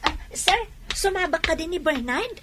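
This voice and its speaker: A woman's voice: very high-pitched, expressive, moderate pace, a bit bright, a little hiss or hum. A woman playing a caring, supportive young friend of an athlete in an Ilocano radio drama. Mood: encouraging.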